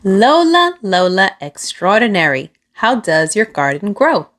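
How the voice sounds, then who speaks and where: A woman reading a children's picture book aloud, with expressive, deliberate phrasing aimed at young listeners. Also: mid-range voice. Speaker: children's storybook reader.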